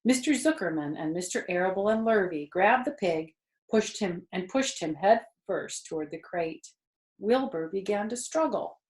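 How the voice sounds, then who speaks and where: A woman's voice: mid-range voice, normal speed. Woman reading a storybook in an echoey room. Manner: expressive, conversational asides to listeners. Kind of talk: children's storybook read-aloud. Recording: roomy echo.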